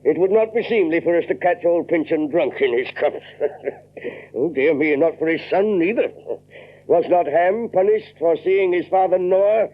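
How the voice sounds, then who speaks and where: An actor playing a jovial, pompous older Lieutenant Governor in an audio drama, hemming and blustering in archaic speech. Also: male voice, sits high, measured pace. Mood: amused. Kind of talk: old-time audio drama acting. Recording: tinny, band-limited recording with faint noise.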